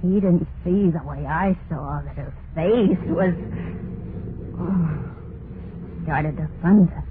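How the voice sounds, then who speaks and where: An actress playing a terrified, unhinged wife in an old-time radio horror monologue, halting and overwrought, full of guilt and dread. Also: female voice, low voice. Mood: haunted dread. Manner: halting, overwrought, drawn-out dramatic delivery. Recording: noisy narrow-band vintage radio recording.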